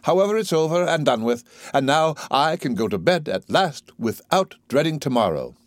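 A man's voice: on the high side, big pitch swings, normal speed, dry recording, pitched above the performer's own voice. A man playing an arrogant, impatient male professor with an imperious, condescending, exclamatory way of talking in a play reading. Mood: smug, relieved.

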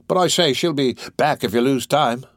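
A man's voice: medium pitch, normal speed, no echo, clean studio sound, voice pushed up, close to an everyday voice. A man voicing a greedy, cowardly young lout in a storybook reading, self-important and full of questions. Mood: cocky, grasping.

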